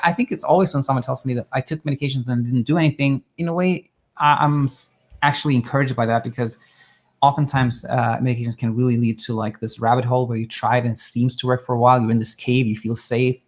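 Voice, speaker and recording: A man from Sweden who coaches people on sleep, calmly reassuring as he answers listener questions live and thinks aloud with small restarts. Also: mid-range voice. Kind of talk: live Q&A advice talk.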